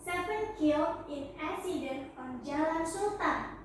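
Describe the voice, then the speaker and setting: Very high, slow female student reading. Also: lively intonation. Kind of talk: reading aloud in class. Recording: echoey room, distant mic, faint noise.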